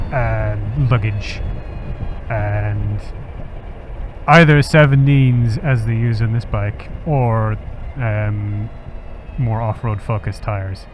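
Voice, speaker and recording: Muffled and set against heavy wind and vehicle noise, a man talks to himself in a casual riding monologue. He rambles and pauses as he thinks aloud, slightly sniffly, at an average male pitch. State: sniffly from cold air. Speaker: motorcycle vlogger. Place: outdoors, riding a motorcycle. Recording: muffled audio, loud wind and engine noise. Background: wind, road and motor vehicle noise.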